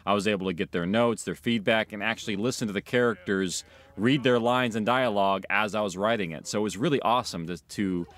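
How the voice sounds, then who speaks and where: A man's voice: fairly low pitch, brisk, fairly bright tone. A man speaking in a documentary interview as a writer, director and creator of audio drama. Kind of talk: documentary interview about their own work.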